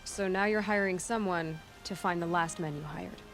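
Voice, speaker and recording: A sceptical young huntress from a video game, acted by a woman. She is direct and probing in a slightly low voice, with soft music in the background. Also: normal speed, lightly acted. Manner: plain-spoken, probing, sceptical. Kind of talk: video game dialogue acting.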